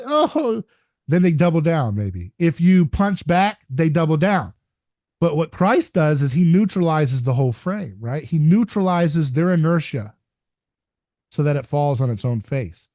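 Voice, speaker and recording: Host: man with a dark, warm voice, close and dry on the mic, earnest and emphatic delivery. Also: a little high, lively intonation, a little slow, dry recording. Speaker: podcast host. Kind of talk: casual conversation.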